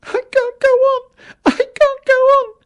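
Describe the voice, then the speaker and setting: A man's voice: very high voice, flat delivery, unhurried, voice pushed up. A man voicing a frightened, exhausted little girl in an audiobook, crying out plaintively. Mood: despairing.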